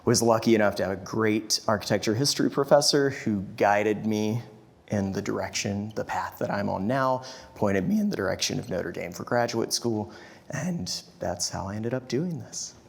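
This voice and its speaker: A man from the southern United States recounts his background on a panel. His voice sits a little low, and he talks in an even, storytelling way. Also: normal speed, vocal fry, husky. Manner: steady, storytelling. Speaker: architect panelist. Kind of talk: panel discussion answer.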